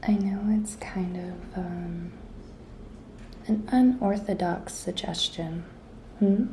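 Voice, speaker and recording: Slow, whispery, flirty office intern played by a woman. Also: on the low side, steady tone, warm tone, slight background noise. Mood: flirtatious, suggestive. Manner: breathy, coy, slow and deliberate, often dropping to a whisper. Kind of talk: whispered ASMR roleplay.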